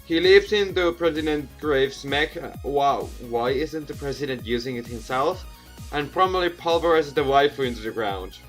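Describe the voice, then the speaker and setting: A man's voice: mid-range voice, normal speed. A young man hosting a gaming video, reading visual novel narration aloud with asides and stumbling over repeated words. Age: young adult. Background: music.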